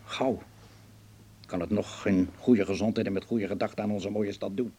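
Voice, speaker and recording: An actor playing a cautious, grave, fatherly older German man in a radio drama, with a measured, formal and guarded delivery. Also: on the low side, fairly even intonation, mellow, husky. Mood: worried, guarded.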